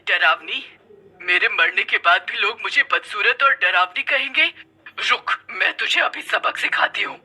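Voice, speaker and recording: A woman as a sharp, vengeful witch ghost. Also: medium pitch, animated, unhurried, breathy edge, heavily acted. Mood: wounded fury. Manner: menacing, sneering, cackling. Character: vengeful female witch ghost, bitter, gloating, mocking.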